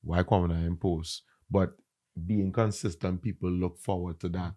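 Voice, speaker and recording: A man with a Trinidadian accent, a content creator and comedian, chatting in an interview and telling stories with lively emphasis. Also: sits low, normal speed. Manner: animated, emphatic storyteller. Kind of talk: casual interview chat.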